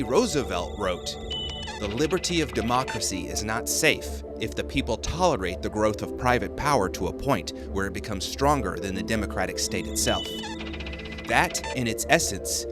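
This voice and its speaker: A man narrating a scripted video essay in an emphatic, grave, deliberate manner. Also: on the low side, sing-song. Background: music.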